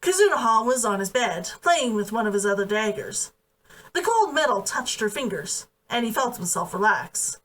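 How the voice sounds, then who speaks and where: High-pitched, animated woman reading a story. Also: moderate pace. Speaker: author reading own book aloud. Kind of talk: expressive storybook narration. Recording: voice distant from the mic.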